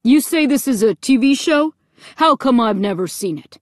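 A suspicious, easily riled dad from a children's story, acted by a woman narrator. The manner is blunt and demanding, with lively pitch movement and a dry, close sound. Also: normal speed, dry recording, close to an everyday voice. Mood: angry. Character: indignant, suspicious father, easily deflated.

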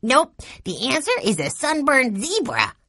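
A woman's voice: sits high, huge pitch swings, unhurried, bright, forceful tone, slightly rough, no room sound, clean studio sound, voice pushed up, exaggerated voice. A woman voicing an eager, cheeky little boy in a children's audiobook, piping and exclaiming. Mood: eager, playful.

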